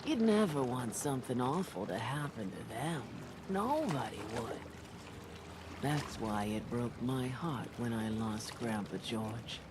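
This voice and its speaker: A man's voice: medium pitch, expressive, a little slow, background noise, natural voice. An actor voicing a folksy man in a video game who spins a long tale, talking in a homespun storytelling way. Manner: folksy yarn-spinning storyteller. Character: folksy, crafty island quest-giver man.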